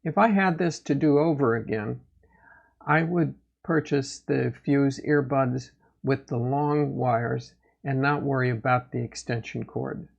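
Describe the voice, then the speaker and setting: A man hosting a gear review channel, giving a product update in a plain, matter-of-fact, measured way. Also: mid-range voice, gravelly, muffled recording.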